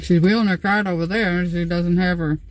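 A woman's voice: lowish voice, steady tone, moderate pace. Older woman chatting in a van. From Canada. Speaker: vlogger. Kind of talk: casual chat. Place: inside a parked van.

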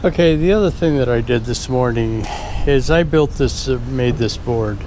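A man's voice: medium pitch, normal speed, warm tone, slight background noise. A man talking casually through a walkthrough of his workshop, rambling with plenty of fillers and restarts, recorded on an action camera indoors. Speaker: shop owner and builder. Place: large workshop garage, indoors.

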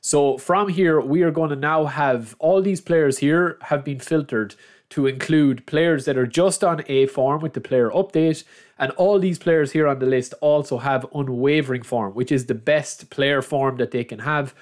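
A man's voice: on the high side, unhurried. A young man with a head cold that makes him a bit nasal, chatting through a screen-recorded football game guide and calling his viewers lads. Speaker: gaming channel host. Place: at a computer, voice-over of screen recording.

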